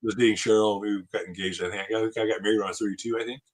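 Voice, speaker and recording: A man's voice: on the low side, steady tone, racing. A man in his late fifties chatting casually on a live stream, rambling with many fillers and frequent laughs. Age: 50s. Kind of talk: casual chat.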